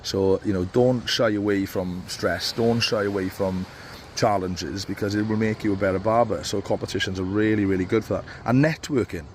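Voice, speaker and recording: Low-voiced guy with a cold, chatting barber advice outside, bit of noise behind. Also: steady tone, normal speed. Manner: rambling, with many fillers and restarts. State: stuffy with a cold. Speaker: barber coach. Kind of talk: casual advice talk. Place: outdoors on a beach. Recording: faint outdoor noise.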